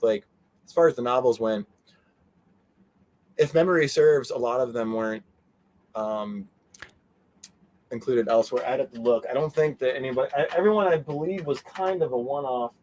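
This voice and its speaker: A man giving a casual interview as a guest, animated and meandering, often trailing off mid-sentence. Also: mid-range voice, normal speed, pauses often. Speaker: interview guest, fan convention organizer. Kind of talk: casual rambling interview chat.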